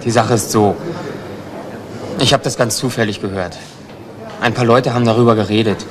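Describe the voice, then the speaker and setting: An actor playing a young homeless petty crook, defensive and evasive, halting and repeating himself in a crime radio play. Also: male voice, medium pitch, fairly even intonation, a crowd in the background, close to an everyday voice. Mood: earnest, uneasy. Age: young adult. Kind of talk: German radio crime drama acting. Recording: noisy retro radio drama recording.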